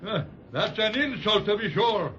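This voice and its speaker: A man's voice: sits high, expressive, a little slow, bright, forceful tone, heavily acted. A man playing a cocky, stubborn roly-poly policeman with a teasing manner in a 1930s radio serial. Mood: smug, teasing. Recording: dull, noisy 1930s radio recording.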